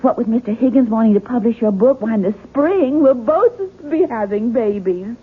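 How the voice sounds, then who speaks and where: An actress playing a gentle, anxious young wife in a 1970s radio drama, coaxing and emotional. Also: female voice, a little high, expressive, normal speed, husky. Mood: excited. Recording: muffled, faintly noisy radio broadcast.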